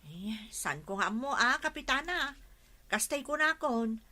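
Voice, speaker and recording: A defensive delivery worker with an Ilocano accent in a radio comedy. The voice is fairly high and bright, insistent and matter-of-fact. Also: female voice, sing-song, measured pace, heavily acted. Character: defensive parcel delivery worker, plain-spoken.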